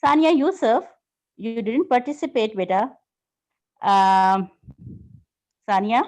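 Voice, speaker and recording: Woman English teacher, slightly high voice, patient and corrective, online lesson. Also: expressive, takes it slowly, a little mellow. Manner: patient, corrects grammar, calls students "beta". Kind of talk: online class. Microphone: video call.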